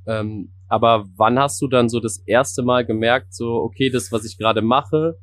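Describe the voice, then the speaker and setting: A man's voice: mid-range voice, normal speed. A man hosting a podcast, asking questions in a loose, chatty way with a habit of repeated "so". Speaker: podcast host, interviewer. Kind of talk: casual interview questions.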